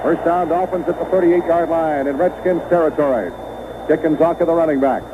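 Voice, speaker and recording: A man's voice: a little high, a little fast, narrow, tinny audio, heavy background noise. An American man calling live football play-by-play on an old radio broadcast. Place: stadium broadcast booth.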